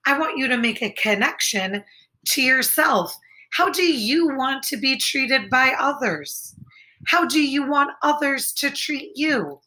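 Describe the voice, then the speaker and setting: A woman teacher reading a children's picture book aloud, expressive and deliberate, speaking directly to her young listeners. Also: fairly high pitch, low-quality recording.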